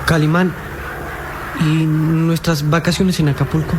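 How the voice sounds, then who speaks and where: A man's voice: on the high side, level tone, unhurried, background noise, close to an everyday voice. A male performer playing a timid young boy companion in an old radio adventure serial. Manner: timid, hesitant.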